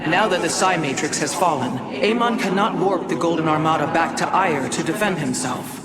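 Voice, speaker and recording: An actor playing a noble, resolute alien warrior leader in a video game, speaking with formal, commanding solemnity. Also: male voice, a little high, a little fast, very noisy, natural voice. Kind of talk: video game cutscene acting.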